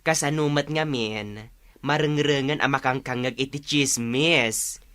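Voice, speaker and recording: A man acting a chatty, confiding village tattler in an animated Ilocano comedy radio drama. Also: mid-range voice, measured pace, clean tone, lightly acted.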